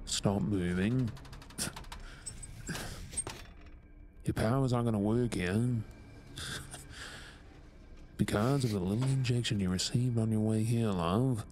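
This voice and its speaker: A man with an Australian accent playing a remorseful lab assistant in an audio drama, speaking in a deliberate way. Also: on the low side, a little mellow, a little hiss or hum, natural voice. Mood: stern, cold. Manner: somber, deliberate, halting. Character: haunted, remorseful male former lab assistant. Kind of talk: dramatic audio roleplay acting.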